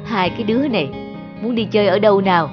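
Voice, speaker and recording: Woman playing a patient, gentle cartoon father. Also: medium pitch, fast, lo-fi sound, over music, lightly acted. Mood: patient, questioning. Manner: patient, explanatory. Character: patient, gentle father teaching his two young daughters. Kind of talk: acted cartoon dialogue.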